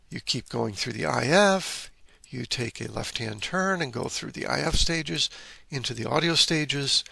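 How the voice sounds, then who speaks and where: Man, instructive electronics presenter, heavy fry and husky edge, close dry voice-over. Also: mid-range voice, pushed and bright, no room sound. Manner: instructive, explaining step by step. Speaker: presenter of an electronics repair video. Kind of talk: informal technical walkthrough narration.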